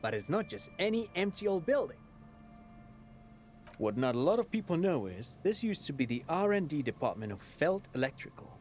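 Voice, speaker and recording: A man's voice: fairly high pitch, sing-song, moderate pace, darkish tone, slight background noise. An actor playing a chatty father in a video game who lectures on local history in a professorial cadence. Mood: lecturing. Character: chatty adult father, trivia-loving local history buff.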